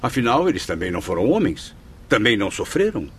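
A man's voice: on the low side, very animated, fast, fairly bright tone, breathy edge, exaggerated voice. An actor in a radio drama playing the spirit of a proud Portuguese male novelist, florid, formal and theatrical. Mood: courteous, eager.

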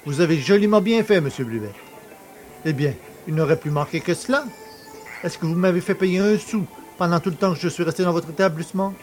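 A man as a cocky, higher-voiced French poacher. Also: a little fast, darkish tone, faint music behind, lightly acted. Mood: jovial, familiar. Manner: familiar, colloquial, cocky. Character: cheeky, boastful working-class poacher, freed innocent and celebrated. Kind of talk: audio drama acting.

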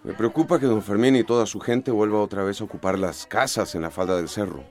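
An actor playing a weary, caring middle-aged small-town mayor with a Central American accent, in a radio soap opera. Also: male voice, on the low side, brisk, a little mellow, lightly acted. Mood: worried, steady.

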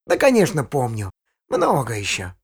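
An older man playing a kindly, knowledgeable grandfather in a children's audio play, explaining things to a child in a husky voice. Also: mid-range voice, wildly swooping pitch, normal speed. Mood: fond, playful. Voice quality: husky, raspy. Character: kindly, knowledgeable old grandfather on a nature walk.

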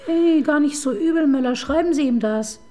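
An actress playing a sharp, bossy female chief inspector in a radio crime drama, ordering her assistant around with impatient sarcasm. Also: high voice, moderate pace, natural voice. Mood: dry. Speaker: police detective chief inspector.

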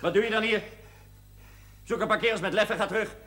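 Brusque, high-voiced mine boss. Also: male voice, steady tone, quick pace, fairly bright tone. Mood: stern resolve. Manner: brusque, authoritative, giving orders. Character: stern, commanding male mine boss, brusque and resolute. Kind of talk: television drama acting. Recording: noisy old TV soundtrack.

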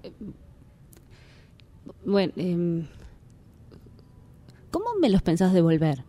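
A woman acting a cautious woman in a radio sketch. Her voice is lowish and mellow, and she speaks very slowly, haltingly, repeating words as she thinks. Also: expressive. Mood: wary, hesitant. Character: cautious, hesitant woman weighing up a loan. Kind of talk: improvised radio theatre exercise.

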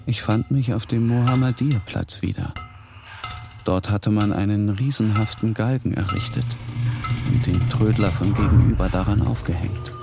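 A man narrating a dark literary text in the first person, with brooding, deliberate phrasing. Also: fairly low pitch, gravelly. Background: music.

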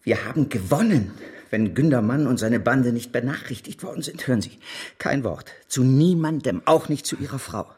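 An actor playing a scheming, commanding stock speculator in a radio drama, urgent and conspiratorial, with a husky, creaky voice. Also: male voice, medium pitch, animated, normal speed, a little mellow. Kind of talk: German radio drama acting.

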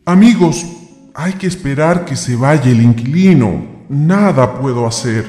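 A man playing a hesitant, apologetic but generous gentleman in a radio drama. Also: mid-range voice, animated, measured pace, soft background music, close to an everyday voice. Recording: long hall reverb.

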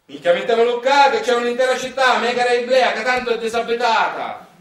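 A man playing an eager, careless workman in a stage monologue, crude and shouty. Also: very high voice, fairly quick, pitched above the performer's own voice. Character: excitable, careless workman eager to build anywhere. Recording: roomy, distant microphone.

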